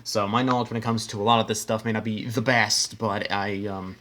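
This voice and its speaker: A man hosting a simulation with casual, rambling commentary, stumbling over words and dropping deadpan asides. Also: on the low side, fairly quick. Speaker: host narrating a simulation.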